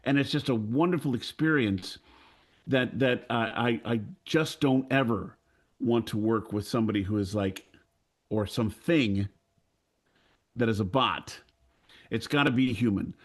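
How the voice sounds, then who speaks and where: A man of sixty-two speaking earnestly and off the cuff on a panel, haltingly, often repeating phrases. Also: mid-range voice. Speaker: audio drama creator on a panel. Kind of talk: earnest unscripted panel talk.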